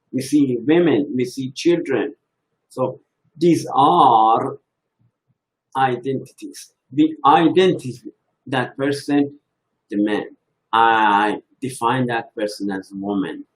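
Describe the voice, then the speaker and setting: Man, very slow delivery, halting, reflective talk on faith, medium pitch. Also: low-quality recording. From Iran. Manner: slow, halting, thinking aloud.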